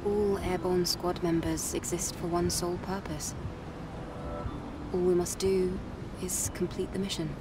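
A woman's voice: fairly low pitch, little pitch movement, unhurried, very noisy, natural voice. An actress playing a serious, dutiful young female soldier in a video game, reserved and earnest. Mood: resolute. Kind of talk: video game cutscene voice acting. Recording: distant voice under music.

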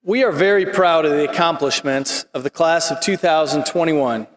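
A middle-aged man, a school administrator, reading formal announcements at a graduation ceremony in a steady way. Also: fairly high pitch, normal speed. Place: large indoor arena. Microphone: podium microphone. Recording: roomy arena with long reverb.

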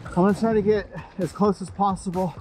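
High-pitched man vlogging outdoors. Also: fairly even intonation, a little fast, mellow, faint music behind, low-quality recording. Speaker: fishing channel host. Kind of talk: casual outdoor vlog talk to viewers. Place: outdoors on an open beach and in a kayak at sea.

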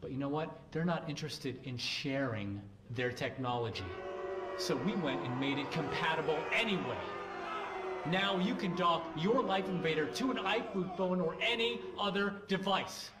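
A man's voice: on the high side, lightly acted. Pompous young tech founder played by a man, echoey. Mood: smug, grandiose. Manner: pompous, emphatic pauses between phrases. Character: smug, grandiose young tech company founder. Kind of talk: satirical product launch keynote, game cutscene. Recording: echoey hall with crowd noise.

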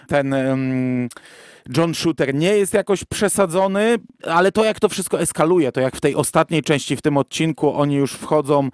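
A man's voice: mid-range voice, no echo, clean studio sound. A man co-hosting a podcast, giving a casual, informal and matter-of-fact review. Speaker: podcast co-host.

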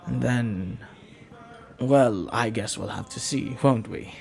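A man playing a flirty, lovelorn pirate captain in a romantic audio roleplay, speaking softly and earnestly with hesitant, lingering pauses. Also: mid-range voice, gravelly. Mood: tender, pleading.

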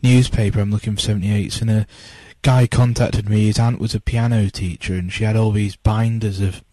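A man reminisces in a relaxed, colloquial way. His voice is low and mellow, and the sound is dry and close. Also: moderate pace, no room sound, poor audio. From North-East England. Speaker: interviewed record collector. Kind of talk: casual reminiscing chat.

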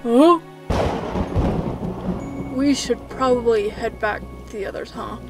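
Woman voicing a weary, halting, high-pitched skeleton guy. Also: over music. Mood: tender, relieved. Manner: halting, stammering, tender. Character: weary, emotional male skeleton, gentle and protective. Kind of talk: amateur comic dub acting.

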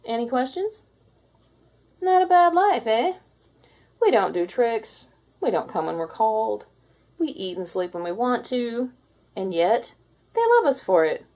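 A woman's voice: a little high, big pitch swings, unhurried, long pauses, a little mellow, heavily acted. A woman voicing a smug, sassy alpha cat who lectures younger cats with boastful, theatrical flair in a storybook read-aloud.

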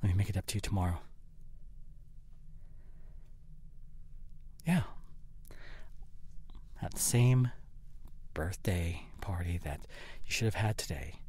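A man playing an apologetic, guilt-ridden boyfriend in an intimate audio roleplay, halting and breathy, often near a whisper, close on the microphone. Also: deep voice, animated, a little mellow. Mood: coaxing. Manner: halting, stammering, earnest pleading. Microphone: close studio condenser microphone.

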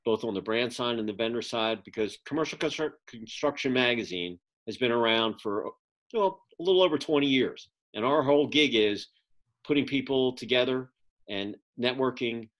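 A man's voice: mid-range voice, lively intonation, moderate pace, a bit bright. A middle-aged man, a magazine publisher, giving a casual update over a video call from a home office, rambling with many fillers. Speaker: magazine publisher and event producer. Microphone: video call webcam.

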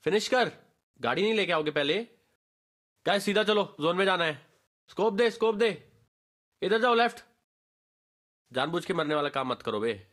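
A man's voice: high voice, normal speed, long pauses. A man voicing a brash, streetwise gamer barking slangy squad callouts for a game voice pack. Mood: wary, cheeky. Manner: animated, slangy, bossy.

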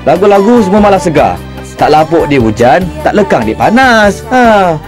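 A man's voice: high-pitched, sing-song, moderate pace, soft background music. An actor playing an opinionated middle-aged father in a cartoon, grumbling and lecturing with a Singaporean Malay accent. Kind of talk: cartoon voice acting.

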